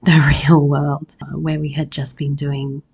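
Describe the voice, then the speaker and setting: A woman podcast host with a husky, hoarse voice, talking personally and reflectively in an unhurried solo episode. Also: low-pitched, little pitch movement, darkish tone. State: hoarse after losing voice. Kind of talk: personal solo podcast talk about herbs.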